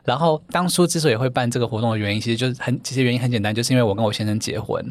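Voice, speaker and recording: A man, a guest in a casual interview chat, talking in a gentle, earnest way with soft fillers. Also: medium pitch, racing, no echo. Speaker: guest, dating event founder.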